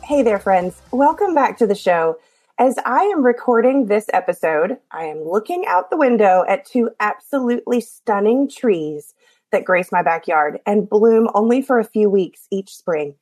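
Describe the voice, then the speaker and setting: A woman's voice: mid-range voice, expressive, moderate pace, darkish tone, slightly rough, no echo, faint music behind. A woman podcast host with an Appalachian accent, her voice scratchy from allergies, talking in an encouraging, chatty way with small jokes. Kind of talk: scripted podcast intro and casual chat.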